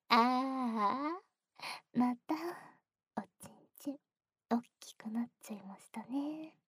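A young woman voicing a playful junior who teases the listener, moving between soft speech and close whispers with frequent giggles. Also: a little high, slow, long pauses, husky, exaggerated voice. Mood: teasing. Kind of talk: whispered ASMR roleplay.

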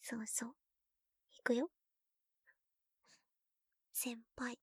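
Woman voicing a playful young girlfriend, breathy, somewhat high voice, close dry sound, ASMR roleplay. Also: level tone, drawn-out words, no echo, heavily acted. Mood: whispering tender. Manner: playful teasing, drifts into soft whispers. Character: teasing shy young woman girlfriend, junior to listener.

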